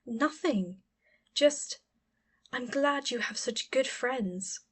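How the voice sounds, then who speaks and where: Audiobook role: caring grown-up cousin, female, gentle and careful, fond with a wistful edge read by a woman narrator. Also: high voice, drawn-out words, husky, exaggerated voice. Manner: gentle, careful, murmured at times.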